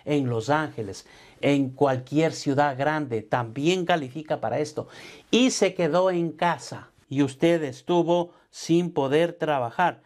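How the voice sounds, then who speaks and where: Slow, emphatic middle-aged man explaining taxes. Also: mid-range voice, darkish tone. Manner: slow, emphatic, addresses viewer directly with formal "usted"; mixes English terms into Spanish. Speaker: tax advisor and business coach. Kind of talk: explainer talk to camera. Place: home studio office with brick wall. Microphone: lapel mic, seated at desk.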